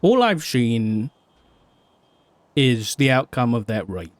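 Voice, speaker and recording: A sober male lawman played by a man in a roleplay game with a medium-pitched voice. He is patient and terse, questioning a fallen colleague in serious, measured phrases. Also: very animated, dry recording. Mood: measured, resigned. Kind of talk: improvised crime roleplay phone conversation.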